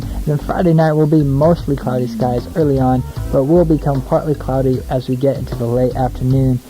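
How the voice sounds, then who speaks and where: A man's voice: a little high, dark tone, rough recording. A young American man hosting a casual radio show, chatty, informal and self-deprecating between songs. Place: small radio studio, alone.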